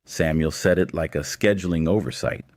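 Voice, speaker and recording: A man's voice: extremely low pitch, moderate pace. A man narrating a scripted audio story.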